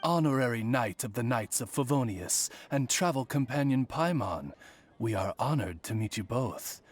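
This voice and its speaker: A man's voice: medium pitch, lively intonation, normal speed, close to an everyday voice. Talking raven butler played by a male actor, formal and deferential, very varied intonation, game cutscene. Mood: courteous. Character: courteous talking raven, humble butler-like familiar to a princess. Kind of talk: video game cutscene acting.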